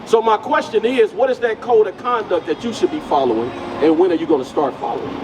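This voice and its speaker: Male street preacher, high voice, direct and questioning, street noise behind. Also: fast. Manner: direct, questioning, repeats "you get what I'm saying". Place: outdoors on a city street. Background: traffic noise.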